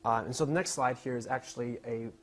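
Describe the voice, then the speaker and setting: A young man giving an informal slide presentation in a hesitant, halting manner. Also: mid-range voice. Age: young adult. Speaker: student presenter.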